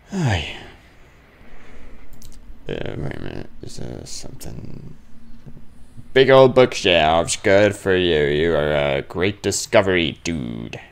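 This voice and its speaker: A male gamer thinks aloud through a horror game in a low, creaky voice. He talks slowly and grumbles under his breath, then breaks out in sudden exclamations. The delivery is casual and unscripted. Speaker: let's play gamer.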